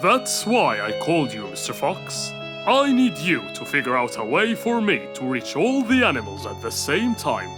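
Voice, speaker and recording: A man's voice: on the high side, huge pitch swings, normal speed. A man playing a genial, courteous lion king in a children's cartoon, regal and friendly. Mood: concerned, gracious. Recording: music behind the voice.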